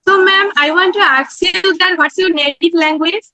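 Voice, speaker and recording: A woman's voice: very high voice, normal speed, sharp tone. A woman co-hosting a video-call conversation in learner English, asking polite questions. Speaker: co-host.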